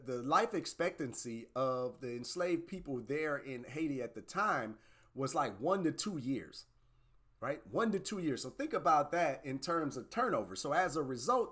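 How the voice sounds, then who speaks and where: Male podcast host, conversational history lecture, mid-pitched voice, habitual "right?" tags and loose restarts. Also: a bit bright.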